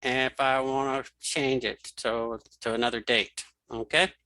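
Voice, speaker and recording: Bright-voiced man teaching software casually. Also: medium pitch, little pitch movement, measured pace, no room sound. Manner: informal, thinking aloud while demonstrating. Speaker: screen reader tutorial instructor. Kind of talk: casual spoken software walkthrough.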